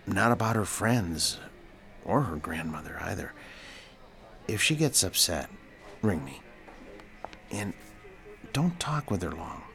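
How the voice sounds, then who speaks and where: An actor playing a cautious, concerned hospital doctor giving firm instructions in an audio drama. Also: male voice, low voice, big pitch swings, slow, gravelly, pauses often, fairly bright tone, heavily acted.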